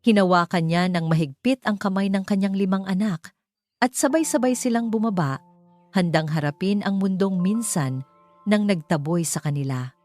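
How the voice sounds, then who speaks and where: A woman with a Filipino accent narrates a scripted romance story in a dramatic, measured way. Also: mid-range voice, a little mellow, no echo. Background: background music.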